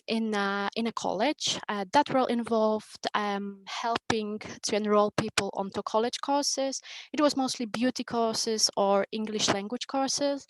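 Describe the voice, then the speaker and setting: A woman's voice: mid-range voice, dry recording, rough recording. A young woman with a Polish accent, a native Polish speaker, giving a hesitant talk on a conference panel as an onboarding manager, with many ums and restarts. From Poland.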